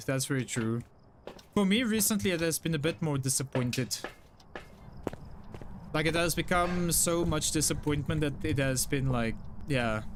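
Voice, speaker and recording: A man's voice: a little high, slight background noise. A young man from South Africa with an Afrikaans English accent, chatting casually with his live-stream audience and repeating himself with lots of "like" fillers. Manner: chatty, apologetic, frequent self-repeats and "like" fillers. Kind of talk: casual live-stream chat reading chat messages.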